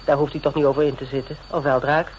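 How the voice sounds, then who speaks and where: A man's voice: fairly high pitch, flat delivery, fast, close to an everyday voice. An actor playing a brash man, blunt, teasing and informal, in radio drama dialogue. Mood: reassuring. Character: brash, familiar male friend of a policeman. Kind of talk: Dutch radio drama acting, colloquial dialogue. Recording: slightly noisy radio recording.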